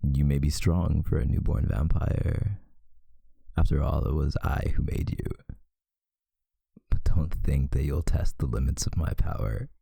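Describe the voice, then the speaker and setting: A man voicing a possessive yet tender male vampire lover in an intimate, hushed ASMR roleplay, often slipping into a whisper. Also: no room sound, full character voice. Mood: possessive, proud. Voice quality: very deep, breathy, gravelly, often whispering. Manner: slow, hushed, soothing, seductive.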